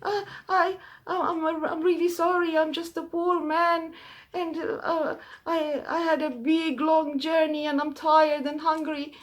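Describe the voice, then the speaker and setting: A man's voice: very high voice, level tone, a little slow, voice pushed up. Woman doing a stammering, desperate poor man. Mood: pleading. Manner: stammering, pleading. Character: poor desperate wandering man, pleading, timid.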